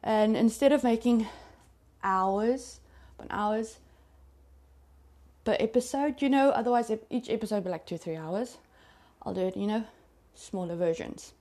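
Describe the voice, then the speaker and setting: A woman's voice: mid-range voice, normal speed, warm tone. A South African woman whose first language is Afrikaans, telling a true crime story in a chatty, confiding way to her listeners. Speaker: podcast host.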